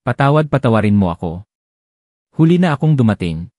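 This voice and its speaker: A man's voice: mid-range voice, very animated, brisk, darkish tone, no room sound. A man voicing a remorseful grown man who pleads with the woman he lost. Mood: pleading, remorseful. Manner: pleading, emotional delivery.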